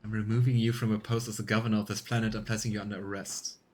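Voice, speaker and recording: A man's voice: fairly low pitch, level tone, very fast, pitched below the performer's own voice, natural voice. A man playing a commanding aristocratic starship captain in a video game, with a stern, lordly and formal manner. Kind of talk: read-aloud game dialogue.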